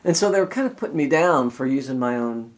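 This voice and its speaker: An older man, a guitarist, reminiscing in a relaxed interview, rambling and full of "you know". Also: medium pitch, fast, loud music behind the voice.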